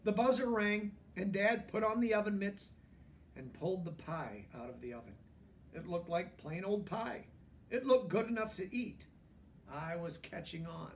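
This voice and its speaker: A man with a high-pitched voice reads a picture book aloud to children. His narration is expressive and plainly explained. He sounds set back from the microphone. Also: moderate pace, recorded from a distance, a little hiss or hum. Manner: explains asides simply to young listeners. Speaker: storybook reader.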